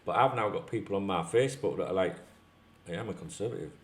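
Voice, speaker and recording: A man's voice: low-pitched, lively intonation, fast talker. A middle-aged man from North Yorkshire with a Northern English accent, talking casually and bluntly to camera as a YouTube host, swearing freely. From North Yorkshire, England. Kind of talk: casual opinionated talk to camera. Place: large tiled-floor room at home, indoors. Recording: echoey room.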